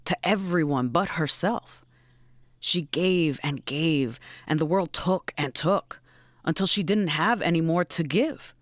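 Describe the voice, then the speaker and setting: A woman's voice: low-pitched, a little slow, no echo, clean studio sound. An actress playing a blunt, wry, brooding woman who swears and muses in naturalistic audio drama dialogue. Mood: wistful, sombre.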